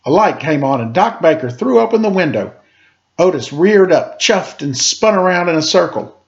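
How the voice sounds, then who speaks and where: A man reading a children's story aloud in an expressive, dramatic storytelling manner. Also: a little high, moderate pace, vocal fry, muffled recording. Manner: dramatic read-aloud, acting out sound effects and dialogue.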